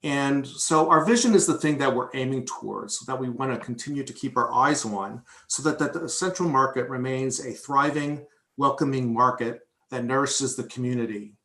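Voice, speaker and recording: A man's voice: mid-range voice, moderate pace, pushed and bright. A man giving a prepared presentation as a board vice chair at a public meeting. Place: indoor room on a video conference call. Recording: roomy echo on voice.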